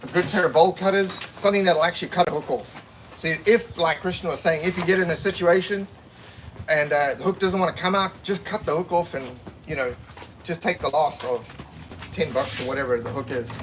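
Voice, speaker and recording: A man's voice: a little high, fairly quick, fairly bright tone, slight background noise, rough recording. A man giving a casual, practical talk at a seminar, plainspoken and given to repeating himself while he explains. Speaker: seminar presenter.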